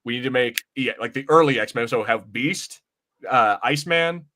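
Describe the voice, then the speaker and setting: A man's voice: medium pitch, moderate pace, bright, forceful tone, slight background noise. Casual, rambling male podcast co-host. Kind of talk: casual film and comics discussion.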